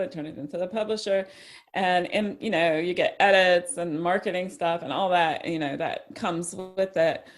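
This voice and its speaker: A woman novelist chatting casually as an interview guest, halting and self-deprecating in how she talks. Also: on the low side.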